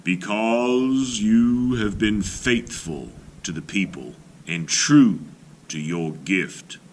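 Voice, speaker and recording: A man's voice: fairly low pitch, takes it slowly, slight background noise. A man voicing a mysterious spirit calling in the night, with emphatic, solemn storybook delivery. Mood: solemn, proclaiming.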